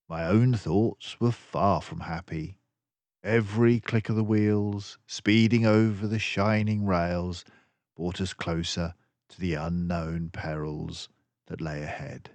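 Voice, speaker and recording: An older man reading a children's story aloud as narrator, expressively, with measured, dramatic phrasing. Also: low voice, a little mellow, dry recording.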